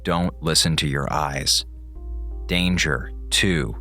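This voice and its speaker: A man's voice: extremely low pitch, slow, pushed and bright, soft background music, exaggerated voice. A man in an audio drama reading out ominous, cryptic coded messages in a portentous way.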